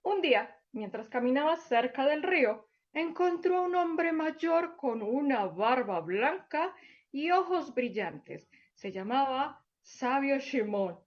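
A woman voicing a gentle plush lion puppet who tells stories to small children in a sweet, coaxing way. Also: high-pitched, expressive, takes it slowly, muffled recording. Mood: tender. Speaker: children's storyteller. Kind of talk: children's puppet show storytelling.

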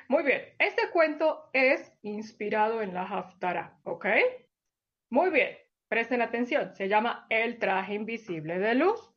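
Woman doing a kindly plush lion puppet, storybook teller, slightly high voice, unhurried drawl, dull lo-fi sound. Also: lively intonation, fairly bright tone, full character voice. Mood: tender. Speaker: children's storyteller. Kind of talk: children's puppet show storytelling.

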